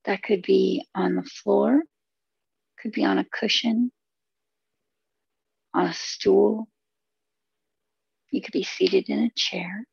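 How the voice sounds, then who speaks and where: A woman leading a guided relaxation meditation, giving soothing, unhurried instructions in short phrases with long pauses. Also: medium pitch, fairly even intonation, creaky, a little mellow, husky, no echo, dull audio.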